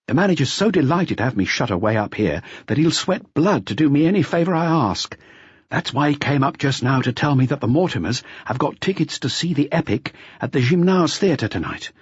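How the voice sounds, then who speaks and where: A man voicing an eager, chatty junior police detective who briskly confides his report to the chief. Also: mid-range voice, sing-song, slightly rough, no echo, muffled recording, voice pushed up. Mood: brisk, confiding.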